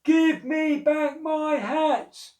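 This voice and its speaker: A man voicing a cross hat seller who shouts, then wails. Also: very high voice, drawn-out words, far from the mic, voice pushed up, heavily acted. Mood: angry. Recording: echoey room, voice off-mic.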